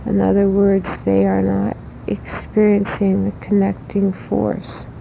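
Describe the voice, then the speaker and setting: A woman giving a spiritual lecture in deliberate, halting phrases, instructing the listener like a teacher, on a muffled old cassette recording. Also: monotone, vocal fry, warm tone. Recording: muffled noisy old cassette tape with rumble.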